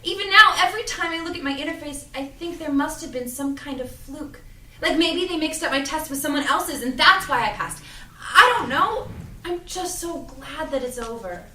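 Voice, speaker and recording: A young woman playing an earnest, self-doubting young shop worker in an audio drama read-through, speaking in an informal, expressive way. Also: high-pitched, moderate pace, full character voice. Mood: anxious, startled. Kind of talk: audio drama cast reading. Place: echoey room at a cast read-through. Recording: roomy, echoing, distant mic, background noise.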